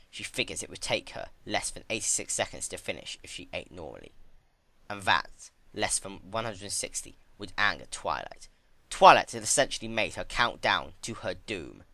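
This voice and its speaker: Bright, animated narrator reading a story. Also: low voice, no echo. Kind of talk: dramatic fan fiction story reading.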